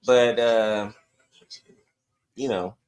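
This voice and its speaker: A man's voice: fairly low pitch, fairly even intonation, very slow, darkish tone. Man chatting casually about sports. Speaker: sports podcast host.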